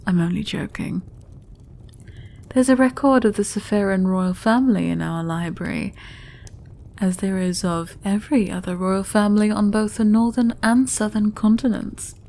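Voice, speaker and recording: A woman plays a fantasy queen with a soft, mellow voice. She is gracious yet shrewd, speaking in measured, formal sentences with regal composure, as in an audio drama. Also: medium pitch, steady tone, gravelly, close to an everyday voice. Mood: regal, composed. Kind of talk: fantasy audio roleplay acting.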